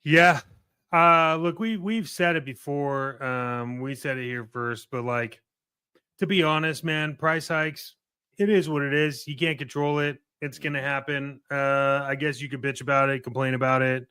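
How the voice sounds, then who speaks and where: A man's voice: mid-range voice, fairly even intonation, a little slow, pushed and bright, clear, resonant tone, dry recording, studio quality. A man co-hosting a podcast in casual, plain-spoken and opinionated style. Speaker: podcast co-host.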